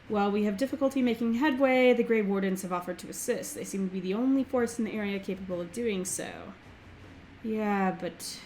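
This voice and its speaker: A young woman hosting a game let's play, slightly hoarse as she recovers from a throat infection, chatting casually and reading game text aloud. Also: medium pitch, darkish tone, a little hiss or hum. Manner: reads game text aloud quickly, filler-heavy. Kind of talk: casual gameplay commentary.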